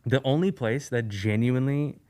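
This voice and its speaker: A man from Eastern Europe, a podcast guest, talking in a relaxed, rambling way with lots of fillers and restarts. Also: medium pitch, normal speed. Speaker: guest, sneaker YouTuber. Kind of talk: casual podcast conversation.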